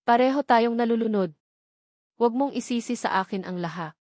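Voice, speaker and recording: A woman's voice: fairly high pitch, a little fast, darkish tone, no room sound, studio quality, natural voice. A woman playing a stern man in an audio love drama, blunt and firm, with restrained anger as they confront a former lover. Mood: defensive.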